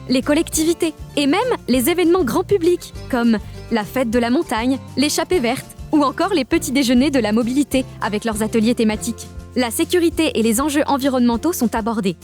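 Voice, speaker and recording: A woman from a regional nature park staff, giving upbeat scripted narration for an animated explainer. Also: on the high side, faint music behind. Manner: upbeat, informative, lists facts briskly.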